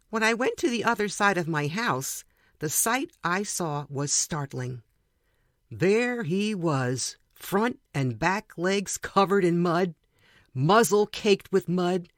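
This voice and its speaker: A man with a slightly high voice reads a light, humorous story aloud in a narrator's style. The recording is dry and close, with little sound of the room. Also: fairly bright tone, no echo. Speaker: reader of short stories for a podcast.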